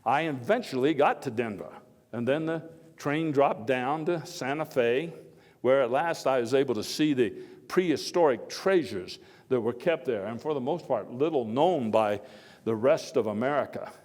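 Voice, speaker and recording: Male reenactor as genial historical statesman, medium-pitched man's voice, folksy halting monologue, wry asides, oratorical emphasis, long hall reverb. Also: a little mellow, lightly acted. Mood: earnest. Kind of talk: live first-person historical monologue, speech to an audience.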